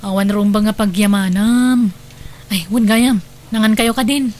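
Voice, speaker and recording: A woman's voice: mid-range voice, measured pace, a little mellow, close to an everyday voice. A woman playing a devoted, defiant adult daughter who speaks firmly and insistently with an Ilocano accent, in an audio drama. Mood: generous, caring. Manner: firm, insistent.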